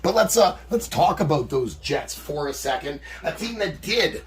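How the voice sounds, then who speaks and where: A man's voice: a little high, lively intonation, normal speed. Guy losing his voice, ribbing his buddy while ripping card packs. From Canada. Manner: wry, teasing banter. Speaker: card break host. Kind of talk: casual chat.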